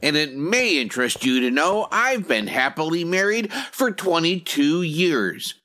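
An actor playing a pompous, nervous and easily irritated company examiner in a comic radio drama. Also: male voice, a little high, sing-song, a little slow, background noise. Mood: flustered, irritated. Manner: officious, pompous, clipped questioning.

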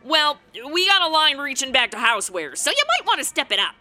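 A woman's voice: sits high, very animated, a little fast, bright, forceful tone, faint music behind, exaggerated voice. A woman playing a brash, blunt young helper to Santa in a comedic radio play, flippant and sarcastic. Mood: impatient.

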